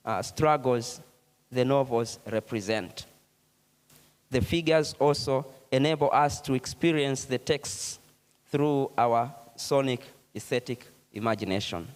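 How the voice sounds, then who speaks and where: A man reading a formal, measured academic keynote lecture. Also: medium pitch. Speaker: keynote speaker, professor. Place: conference hall. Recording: hall with long reverb.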